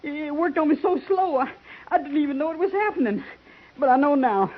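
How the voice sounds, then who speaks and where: Young deserter, pleading, stammering, high-pitched, tinny old radio sound. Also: male voice, animated, a little fast. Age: young adult. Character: young army deserter, frightened and ashamed.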